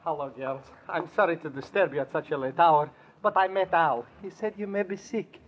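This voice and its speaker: Man playing a high, husky, excitable comic professor. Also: normal speed, close to an everyday voice. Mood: dismissive. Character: excitable, complaining comic old European professor. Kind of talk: 1940s radio comedy acting. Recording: dull vintage radio recording.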